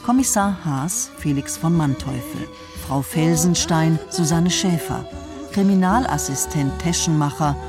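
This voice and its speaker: A woman's voice: deep voice, expressive, unhurried. Woman announcer over music. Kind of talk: scripted cast announcement.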